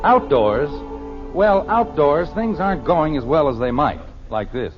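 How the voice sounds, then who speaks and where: A male American narrator sets the scene for a vintage radio comedy. His fairly high voice moves about expressively, wry and genial. Music plays clearly behind the dull old recording. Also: animated, measured pace. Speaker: show narrator.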